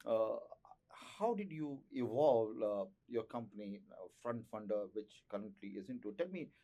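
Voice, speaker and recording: A man's voice: fairly low pitch, lively intonation, normal speed, warm tone, muffled recording. Effusive male interview host.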